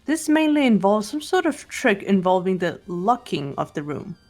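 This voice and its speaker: A woman voicing a composed, analytical teenage girl detective in a fan-voiced mystery game, speaking in a deliberate, precise way. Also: mid-range voice, sing-song, darkish tone, soft background music. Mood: calm, analytical. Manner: deliberate, precise, lecturing delivery. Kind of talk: fan voice-over of a mystery visual novel.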